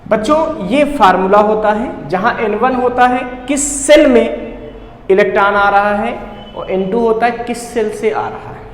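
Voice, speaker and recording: A man's voice: high-pitched, unhurried. A man with an Indian accent teaching physics step by step, asking questions and answering them himself, with English technical terms mixed in. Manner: mixes English technical terms into his talk. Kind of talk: step-by-step problem-solving lesson. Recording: echoey reverberant room, faint background noise.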